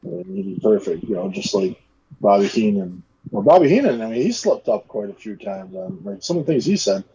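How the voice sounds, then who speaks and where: Low mellow-voiced guy, 30s, laid back and umming a lot, kinda muffled call audio. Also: big pitch swings, brisk. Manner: laid-back, hesitant, lots of fillers. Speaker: co-host. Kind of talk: casual chat. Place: small room indoors. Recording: dull lo-fi call audio with hiss.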